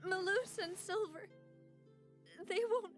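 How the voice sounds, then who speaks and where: A woman's voice: extremely high pitch, slow, faint music behind. An actress playing a gracious, dutiful young woman leader with an earnest, formal manner in an anime game. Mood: tearful grief. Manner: polite, formal, earnest phrasing.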